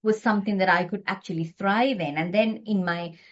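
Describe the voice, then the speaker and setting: A woman's voice: medium pitch, fairly quick, highs cut off. A middle-aged woman doctor from Sri Lanka, a guest talking earnestly with frequent restarts. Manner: earnest, talks with many restarts. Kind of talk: podcast interview.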